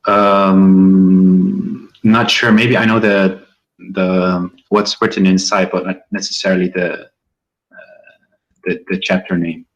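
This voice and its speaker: Muffled, lo-fi call audio carries a low-pitched man from Morocco. A native Berber speaker, he answers as a caller in a casual, hesitant conversational way. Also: flat delivery, slow pace. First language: Berber (Amazigh).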